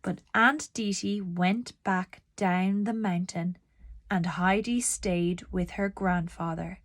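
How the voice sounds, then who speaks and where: A woman narrating a children's storybook aloud in a deliberate, expressive storytelling manner. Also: on the low side, no room sound.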